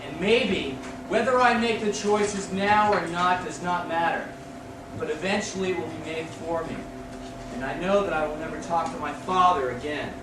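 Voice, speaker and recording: A man reading poetry aloud as a poet, declaiming in short, deliberate phrases. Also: fairly high pitch. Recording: distant echoey room recording with noise.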